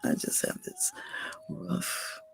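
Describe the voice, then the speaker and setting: A hoarse, raspy-throated host of a casual livestream show, repeating station call-outs and coaxing viewers to like and subscribe. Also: very high voice, huge pitch swings, takes it slowly.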